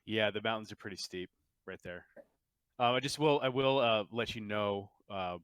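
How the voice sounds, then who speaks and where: Clear, low-voiced male game master. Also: normal speed, a bit bright, dry recording. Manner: informal, explanatory. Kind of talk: casual tabletop game narration.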